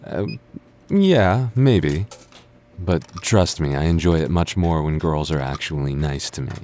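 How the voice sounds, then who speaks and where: Male actor as sarcastic childhood friend, young adult, low-pitched voice, stubborn teasing, natural boyfriend-roleplay delivery. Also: darkish tone. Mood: teasing, softer. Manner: teasing, sarcastic, stammers when flustered.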